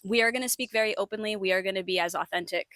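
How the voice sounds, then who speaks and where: A woman's voice: mid-range voice, racing, fairly bright tone, no echo. A woman co-hosting a podcast, talking openly and earnestly in a chatty way with plenty of fillers. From United States. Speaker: podcast co-host. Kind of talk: casual chat.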